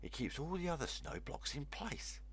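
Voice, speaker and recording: An eager young boy from a children's storybook, as voiced by a man reading aloud, pitched up from the reader's usual voice. He is helpful and instructive, explaining each step in simple words. The delivery swings in a lively way. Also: normal speed, slightly rough.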